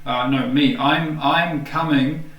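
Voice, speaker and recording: A man's voice: a little high, fairly even intonation, slow pace, a bit bright, reverberant, distant mic. A man with a New Zealand accent chatting casually and rambling on a video call, with lots of fillers. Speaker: host.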